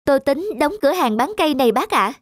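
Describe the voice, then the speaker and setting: A woman's voice: high-pitched, animated, fast, no room sound, studio quality. A woman voicing a polite, enterprising young village man in a cartoon, earnest and courteous. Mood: confident, modest. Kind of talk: cartoon dubbing. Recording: music under the dialogue.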